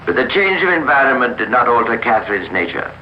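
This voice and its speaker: A man narrating a 1950s radio drama in a measured, formal storytelling manner. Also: mid-range voice, very animated. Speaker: narrator and host. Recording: muffled, hissy old radio recording.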